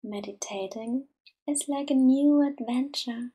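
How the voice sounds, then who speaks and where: Female meditation guide, higher-pitched but mellow, gentle and unhurried, soft to near-whisper. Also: slightly rough. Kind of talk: slow soothing guided meditation.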